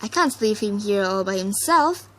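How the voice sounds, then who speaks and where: A woman's voice: medium pitch, expressive, moderate pace, fairly bright tone, lightly acted. A woman voicing an earnest, kind female alicorn pony who leads and reasons things out. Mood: questioning.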